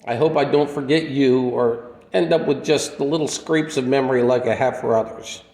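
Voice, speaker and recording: An echoing radio play recording with long reverb carries a man acting as a gentle, lonely drifter who heals people. His voice is creaky and middling in pitch, and he talks earnestly and reflectively, with a folksy kindness. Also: moderate pace, natural voice. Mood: wistful, grateful. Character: kindly, lonely male wandering healer with little memory. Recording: echoey room with long reverb.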